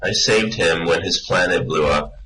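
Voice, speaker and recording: Radio play part: amiable, incredulous alien man, male actor, low but bright, slow delivery, noisy recording. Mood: cheerful. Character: friendly, easily astonished alien man, loyal traveller. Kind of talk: amateur radio play acting.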